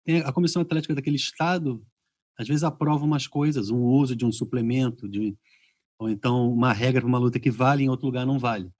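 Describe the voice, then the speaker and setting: This is a Brazilian man in casual conversation over a video call. He tells stories with lively acted-out reactions. His voice sits at a middle pitch and sounds close and dry, with no room around it. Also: fast talker, no room sound. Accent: Brazilian Portuguese. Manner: animated storyteller, acts out reactions. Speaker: podcast host.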